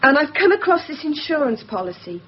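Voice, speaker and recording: Anxious reluctant lady in a radio play, high breathy voice, jumps up and down, muffled recording. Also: big pitch swings, fairly quick. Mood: reluctant, rehearsed. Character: nervous, reluctant woman drawn into a murder plot. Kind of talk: radio drama acting.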